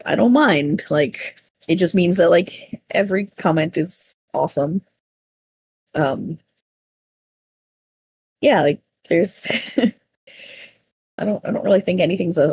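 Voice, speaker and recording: A woman's voice: lowish voice, darkish tone, breathy edge, no echo. A woman chatting casually on a panel in an effusive, rambling, self-deprecating way. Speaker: panelist, small content creator and voice actor. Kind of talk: casual unscripted podcast chat.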